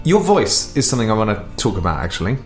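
A man's voice: lowish voice, sing-song, quick pace. A man interviewing a singer, chatty and encouraging, with a light teasing laugh. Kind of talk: casual interview chat. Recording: echoey room with faint music.

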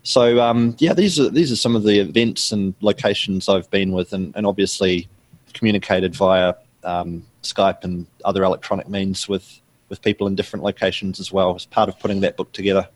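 Man, New Zealand accent, middle-aged, measured, slightly low pitch, explanatory. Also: steady tone. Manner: measured, explanatory, with frequent ums and restarts. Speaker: guest author. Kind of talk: remote interview answers.

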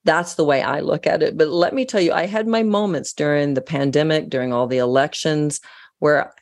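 A woman from the United States, a guest in an interview, telling stories in an earnest, animated way. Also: low-pitched, brisk. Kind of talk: casual interview talk.

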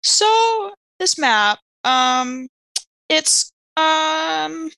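A woman's voice: sits high, animated, drawn-out words, vocal fry, bright, forceful tone, no echo. A woman playing a tabletop roleplaying game, chatting casually and animatedly, half in character.

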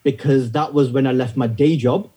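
A comedian in his thirties with a northern English accent, telling stories in a relaxed interview with wry, self-deprecating humor. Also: medium pitch, moderate pace, slightly rough. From north of England. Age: 30s. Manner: wry storyteller, self-deprecating, many fillers. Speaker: stand-up comedian guest. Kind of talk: casual interview chat.

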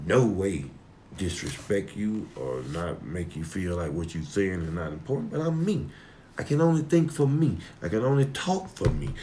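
A man with a deep voice talking emphatically in a heated personal conversation. He swears freely, rambles, and slips into a preaching tone. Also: a little mellow, a little hiss or hum.

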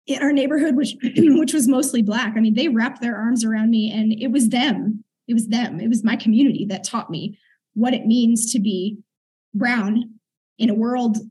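A middle-aged woman, a podcast guest, earnestly and reflectively recounting her experiences and clearing her throat now and then. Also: a little high, moderate pace, mellow, rough recording. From United States. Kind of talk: conversational interview storytelling.

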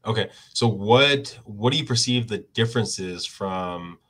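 A man with a somewhat low voice hosts a casual interview. He stops and restarts his questions and drops in short "mm-hmm" replies. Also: fairly bright tone. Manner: halting questions with restarts, brief backchannels. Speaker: interview host.

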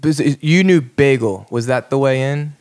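Young man, podcast host, mid-pitched voice, relaxed, thinking aloud, uh-filled. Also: moderate pace. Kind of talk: casual podcast interview.

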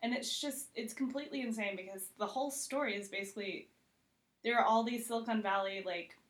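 A woman's voice: fairly high pitch, a little fast. A woman chatting casually as a podcast guest, conversational and giggly, with "like" sprinkled through her sentences. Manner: conversational, heavy use of "like", giggly. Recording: roomy, echoey sound.

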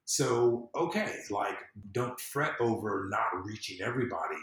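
Guy on a podcast, lowish voice, roomy echo, talks earnestly and repeats himself working thru a thought. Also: expressive, measured pace, fairly bright tone. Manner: earnest, emphatic, thinks aloud with repetitions. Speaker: podcast guest, civic empowerment consultant. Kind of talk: casual conversational interview. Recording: echoey room.

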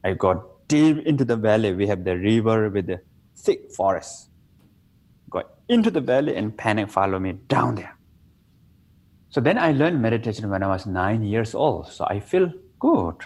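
Casting: man with a Nepali accent, low husky voice, animated pitch, self-mocking and playful in interview. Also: normal speed. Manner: simple broken English, playful, laughs at himself. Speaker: meditation teacher. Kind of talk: relaxed interview conversation.

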